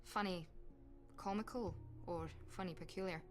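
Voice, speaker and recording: A woman's voice: fairly low pitch, moderate pace, faint music behind, close to an everyday voice. A woman playing a wry, sarcastic staff member at an occult academy in a video game cutscene, with a dry, informal manner. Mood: dry, teasing.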